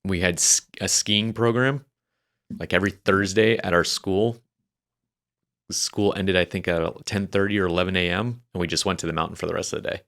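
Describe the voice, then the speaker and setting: A man's voice: low-pitched, steady tone, normal speed, fairly bright tone. A young man, a Navy veteran from New Hampshire, telling his life story as a podcast guest in a rambling, restarting way. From New Hampshire, USA. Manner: rambling, many restarts and fillers. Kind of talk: casual interview storytelling.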